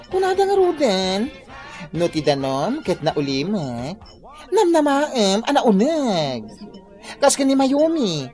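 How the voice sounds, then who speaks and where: Lively, teasing Filipino friend. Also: female voice, mid-range voice. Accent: Filipino, Ilocano. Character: teasing, frank young woman office friend. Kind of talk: Ilocano radio drama acting.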